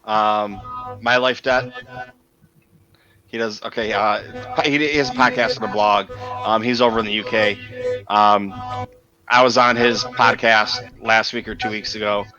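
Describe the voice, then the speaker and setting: A man's voice: lowish voice, fairly even intonation, measured pace, pauses often, dull audio, over music. A man hosting a casual live chat, telling stories in a rambling way with many fillers. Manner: rambling, filler-heavy storytelling. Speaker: host.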